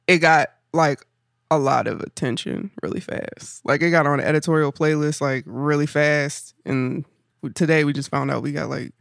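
A young woman with a deep voice, chatting as a guest musician on a podcast, telling stories with animation and frequent laughter. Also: normal speed, vocal fry, no echo, clean studio sound. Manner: animated storyteller, laughs often. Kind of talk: casual podcast chat. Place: living room, indoors.

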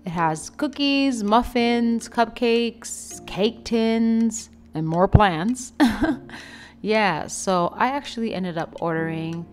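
A young woman, giving a chatty, playful and expressive casual vlog voice-over. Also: on the high side, takes it slowly. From Canada. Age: young adult. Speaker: vlogger, food reviewer. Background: background music.

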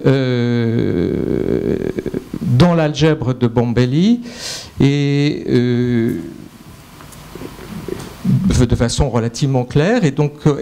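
A man's voice: mid-range voice, darkish tone. A middle-aged French man with a French accent giving a mathematics lecture at a conference, speaking into a handheld microphone with frequent fillers and restarts. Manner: hesitant, many fillers and restarts. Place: lecture hall with projector screen.

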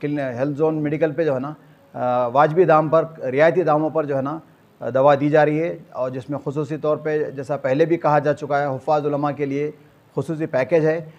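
A man's voice: on the high side, steady tone, normal speed, darkish tone, muffled recording. An older man with a Hyderabadi accent, a community organiser making a formal address to camera with repeated filler phrases. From Hyderabad, India. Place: small clinic room, indoors.

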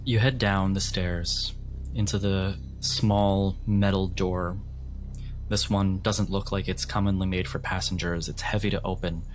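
A man running a tabletop game as game master, narrating scenes descriptively in a measured way. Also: deep voice, steady tone, a little hiss or hum. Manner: measured, addresses players in second person.

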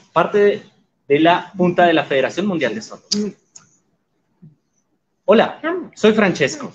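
A man voicing guests' introductions in live interpretation, even and measured. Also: high voice, a little hiss or hum. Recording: slightly muffled audio.

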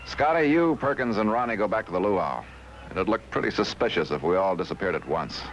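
A man's voice: lowish voice, animated, a little fast, lo-fi sound, a little hiss or hum, natural voice. A man playing a resolute middle-aged cartoon sea captain, commanding and plain-spoken. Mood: determined. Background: loud background music.

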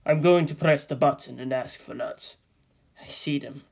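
A man giving a deadpan comedic script reading, flatly reciting the stage directions and character names. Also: medium pitch, normal speed, vocal fry. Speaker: script reader.

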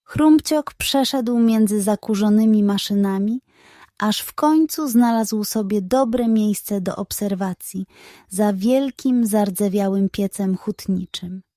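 A woman narrating a scripted children's bedtime story in a measured, expressive manner. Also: medium pitch, no echo, studio quality.

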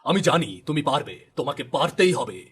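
A man voicing a grave, authoritative king and father in a storybook cartoon, with a solemn, slightly husky voice. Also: on the high side, big pitch swings, normal speed, a bit bright, full character voice. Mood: grave, fatherly. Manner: commanding, solemn.

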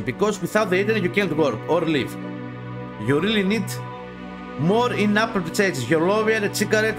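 A man with a fairly high voice and a Greek accent reads game text aloud as a gaming host. He stumbles, repeats phrases and drops in casual, sweary asides. Music plays behind him. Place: small dim home gaming room.

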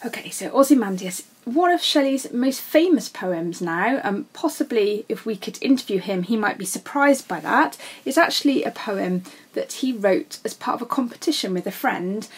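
A woman's voice: a little high, animated, normal speed, few pauses, slightly rough. A woman teacher explaining literature in a recorded lesson, informal and addressing students directly. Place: voice-over for a slide lesson.

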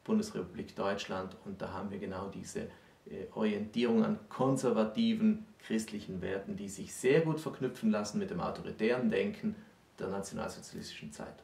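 Man in his thirties, lowish creaky voice, history explainer, discussion style, indoor recording. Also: fairly quick, a little mellow. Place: room with bookshelves, indoors. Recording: roomy echo, distant microphone.

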